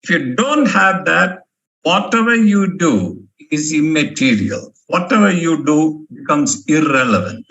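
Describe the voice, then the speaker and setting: A man's voice: fairly high pitch, lively intonation, measured pace, creaky, fairly bright tone, lo-fi sound. A male professor from India giving a motivational management lecture, emphatic and fond of repeating key words. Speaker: professor giving a guest talk.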